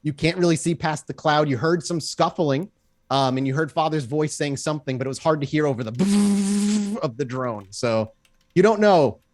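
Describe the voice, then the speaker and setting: A man's voice: on the high side, moderate pace, darkish tone, no echo, clean studio sound. A man running a tabletop game as game master, narrating in an improvised, conversational way.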